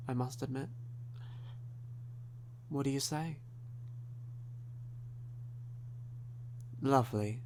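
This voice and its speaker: Slow-talking, suave vampire played by a man. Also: mid-range voice, darkish tone, slight background noise. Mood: inviting, charming. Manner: courtly, unhurried, teasing, formal wording. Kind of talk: ASMR audio roleplay.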